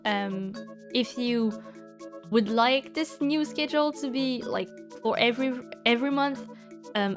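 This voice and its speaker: A young woman from Quebec with a Quebec French accent, speaking English as a second language in a chatty voice-over, full of ums and likes. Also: high-pitched. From Quebec, Canada. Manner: chatty, many ums and likes, laughs often. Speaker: bullet journal YouTuber. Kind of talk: casual voice-over commentary.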